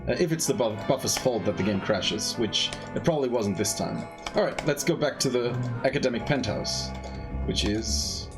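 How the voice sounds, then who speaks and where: A man commentates his own speedrun in a casual, playful manner. His pitch moves around in a lively way and he stumbles and repeats words as he thinks aloud. Game music sits clearly behind his voice. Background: game music, keyboard typing.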